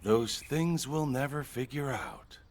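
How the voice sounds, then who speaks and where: An actor playing a baffled investigator at a roadside crime scene in naturalistic audio drama dialogue. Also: male voice, medium pitch, very animated, slow, background noise. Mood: grim, baffled.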